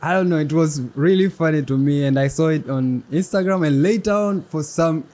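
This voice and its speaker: A young man hosting a casual interview show, speaking haltingly and in a friendly way with many pauses. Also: a little high, a little mellow. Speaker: podcast host. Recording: faint background noise.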